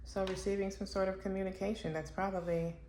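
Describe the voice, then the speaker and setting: A woman doing a casual pick-a-card tarot reading for her channel. She thinks aloud slowly, with pauses and fillers. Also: medium pitch, flat delivery, darkish tone. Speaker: tarot reader. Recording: echoey room with faint background noise.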